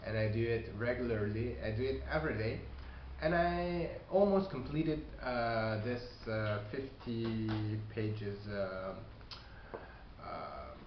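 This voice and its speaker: A man who is not a native English speaker, giving casual advice on learning a language, talking haltingly with many fillers and restarts. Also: mid-range voice. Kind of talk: casual video response. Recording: echoey room, dull lo-fi sound, faint hiss.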